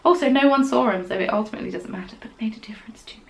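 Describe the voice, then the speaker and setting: A woman's voice: fairly high pitch, racing, creaky, slight background noise. Chatty woman hosting a podcast. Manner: chatty and rambling, with quiet whispered asides. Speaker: podcast host. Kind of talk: casual chat about knitting.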